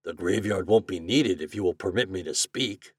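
A man voicing a poor but proud glassblower in a storybook, in a voice close to his own low speaking voice. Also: normal speed, husky, no room sound. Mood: earnest.

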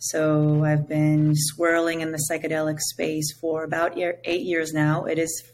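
A woman from Israel, a native Hebrew speaker with an Israeli accent, speaking slowly and searchingly as a podcast guest over a video call. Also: low-pitched, completely flat delivery, a little mellow, slight background noise. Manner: slow, measured and searching, with many pauses and fillers. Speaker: guest, psychedelic integration coach. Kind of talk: reflective podcast conversation. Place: home with a window onto jungle.

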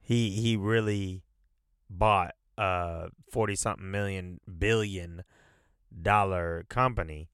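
A man's voice: low voice, fairly even intonation, slow pace, fairly bright tone, clean tone, no room sound, clean studio sound. Laid-back, stuffy-nosed man hosting a podcast. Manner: loose, profane, rambling with fillers. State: stuffy nose. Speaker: podcast host. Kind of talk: casual solo podcast chat.

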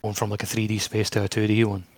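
A man's voice: on the low side, monotone, fast talker. A man co-hosting a gaming podcast, talking casually and informally. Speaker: podcast host.

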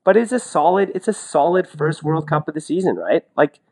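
A man's voice: a little high, expressive, brisk, darkish tone. A man chatting casually as a sports podcast co-host, talkative and colloquial, often repeating himself for emphasis. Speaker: podcast co-host and sports commentator, former athlete.

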